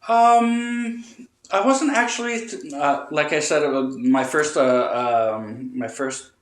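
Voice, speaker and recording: A man talking over a phone call as an interview guest, halting with many filler sounds and restarts. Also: fairly high pitch. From Canada. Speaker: guest, relocating client. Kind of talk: casual interview answers. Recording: roomy echo.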